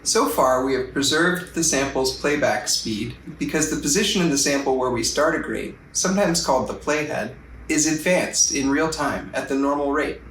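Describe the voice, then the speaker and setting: A man's voice: medium pitch, normal speed, a bit bright, soft background music, low-quality recording. A man narrating a scripted technical tutorial in a methodical, explanatory way, as a product demonstrator.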